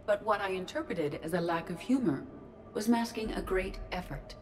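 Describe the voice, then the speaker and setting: A woman's voice: medium pitch, expressive, close to an everyday voice. A woman playing a composed, analytical artificial intelligence in a video game, speaking sincerely and precisely as if giving a eulogy. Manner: precise, measured.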